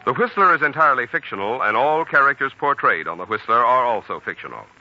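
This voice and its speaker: A man's voice: mid-range voice, animated, fast talker. A man giving scripted announcements in 1940s radio style, formal and emphatic, with careful phrasing. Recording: dull old radio recording. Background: music.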